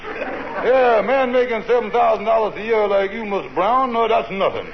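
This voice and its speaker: A sly, scheming manager wheedles in a fairly high voice with loose, slangy grammar. The sound is a muffled vintage broadcast with faint hiss. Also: male voice, normal speed, creaky. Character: scheming, fast-talking male business manager. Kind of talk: 1940s radio comedy acting.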